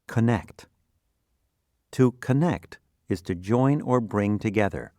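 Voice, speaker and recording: A man narrates a scripted vocabulary lesson in a fairly low voice. His delivery is slow and deliberate, with careful instructional enunciation. The recording is close and dry. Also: lively intonation, a little mellow, no echo.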